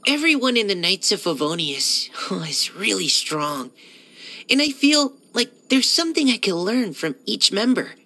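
A timid, well-mannered young male knight from a video game. His voice is bright and youthful, with a crisp, forward edge. He speaks with earnest, hesitant courtesy, always eager to please. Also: sits high, very animated, normal speed, full character voice. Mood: admiring, eager. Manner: hesitant, soft-spoken politeness, eager to please. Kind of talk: scripted video game character lines.

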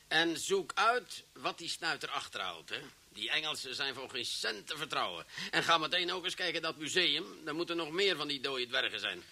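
Bossy commissioner, high pitch, very forceful tone, lively delivery, vintage radio play. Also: male voice, full character voice. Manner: brusque, commanding. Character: bossy, penny-pinching male police commissioner.

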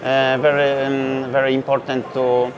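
A man's voice: medium pitch, monotone, a little slow. A young man with a Polish accent, a native Polish speaker, answering interview questions in English with many fillers and restarts. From Poland. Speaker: brewery founder. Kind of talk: festival interview. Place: indoor beer festival hall. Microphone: lapel mic. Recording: dull lo-fi sound with festival crowd chatter.

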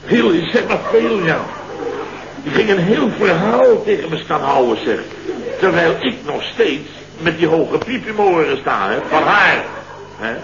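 A man's voice: a little high, big pitch swings, gravelly, heavily acted. Flustered indignant guy in an old radio comedy, voice jumps around, repeats himself, muffled noisy sound. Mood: aggrieved. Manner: halting, repetitive, indignant storytelling. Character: flustered man telling a farcical tale of woe. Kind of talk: comic radio play dialogue. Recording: dull, noisy early-1970s radio recording.

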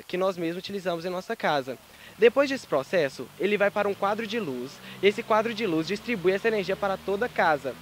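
A young man explaining a solar energy project step by step at a school fair. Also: sits high. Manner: methodical, explanatory. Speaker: student presenter. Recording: noisy outdoor recording.

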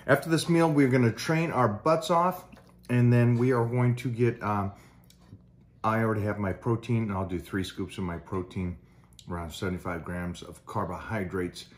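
A middle-aged man in casual chat at his home kitchen counter, calmly explaining his meal plan between bites. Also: fairly low pitch, normal speed. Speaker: bodybuilder vlogger. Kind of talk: casual chat over breakfast.

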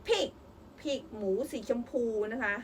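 A woman's voice: sits high, big pitch swings, takes it slowly. A young mother reading a picture book aloud with her child, asking encouraging sing-song questions. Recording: voice picked up from a distance with faint noise.

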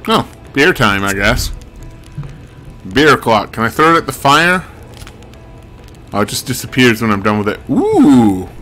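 A man's voice: medium pitch, very animated, a little slow, long pauses, pushed and bright, soft background music. A man giving casual, rambling video game commentary in a wry, musing way, thinking aloud. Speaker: game streamer.

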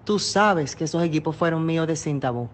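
A woman's voice: low-pitched, fairly quick. A Dominican woman in her thirties talking casually on a phone call, insistent and aggrieved as she complains. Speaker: talk show host. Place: on a talk show set with armchairs.

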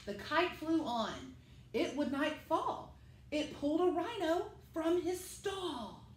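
Animated, high-voiced woman reading to children. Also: slow pace. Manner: animated, addresses children directly. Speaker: school teacher reading to pupils. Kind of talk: children's read-aloud, picture book storytelling. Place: living room at home, indoors. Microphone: camera a few metres away. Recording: echoey room with faint noise.

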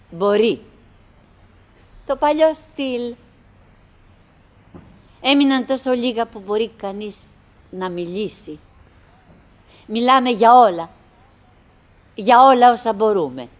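A high-pitched, wistful wife, played by an actress in a radio drama. She chatters to herself in broken, repeated phrases, lonely and theatrical, leaving pauses between thoughts. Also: animated, natural voice. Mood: musing, melancholy. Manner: fragmented, repetitive musing with pauses and self-address. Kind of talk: theatrical radio play acting, monologue.

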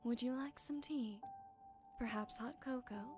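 Wise forest witch played by a woman for ASMR roleplay: fairly high voice, tender, very unhurried, caring with a soft tease. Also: over music, natural voice. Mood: gently welcoming.

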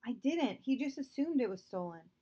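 A woman reading aloud, voicing an anxious son who hesitates and stammers, pitched higher than her narration. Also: expressive, normal speed, dark tone, slightly rough. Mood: defensive. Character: anxious young son caught in a lie.

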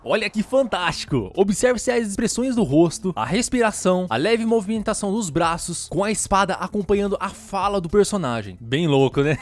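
A man with a Brazilian accent narrating a software tutorial as host, with casual asides. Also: high voice, animated, fairly quick, hardly any pauses.

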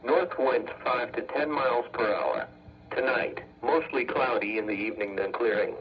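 A synthetic male text-to-speech voice reading an automated weather bulletin as a radio announcer, in measured, formulaic segments. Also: medium pitch. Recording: muffled weather radio broadcast.

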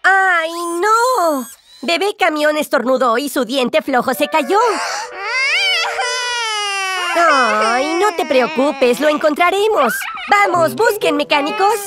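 A woman's voice: high-pitched, animated, takes it slowly, over loud music. A woman playing a cheerful, helpful gecko mechanic in a children's cartoon, exclaiming and explaining things to young viewers. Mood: excited, urgent. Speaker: cartoon lead and narrator. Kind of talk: animated children's show dialogue dubbed in Spanish.

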